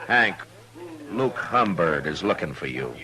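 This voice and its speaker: An actor playing a firm, weary American frontier marshal, terse and dry, in an old-time radio western. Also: male voice, sits low, big pitch swings, a little slow, crowd noise. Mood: dry, calm.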